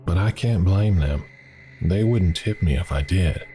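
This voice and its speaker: A man's voice: deep voice, measured pace, warm tone, a little hiss or hum, pitched above the performer's own voice. Man putting on a chatty Southern waitress. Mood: friendly. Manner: folksy, chatty, calls people hon and sweetie. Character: friendly middle-aged female diner waitress.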